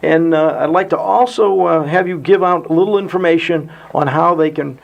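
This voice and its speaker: Gravelly male radio host, rambling. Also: fairly high pitch, moderate pace, dull audio. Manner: rambling, with many fillers and restarts. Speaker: radio talk show host. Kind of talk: radio call-in show hosting.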